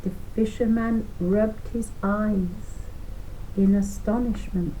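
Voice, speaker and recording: Slow, mellow elderly woman storyteller. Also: medium pitch, fairly even intonation, a little hiss or hum, poor audio. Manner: deliberate, phrase-by-phrase reading. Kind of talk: reading a folk tale aloud to children. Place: living room at home, indoors.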